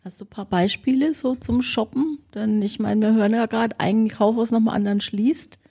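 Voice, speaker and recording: A woman's voice: a little high, steady tone, normal speed, mellow, breathy edge. A woman interviewer asking long, chatty questions in a casual conversation.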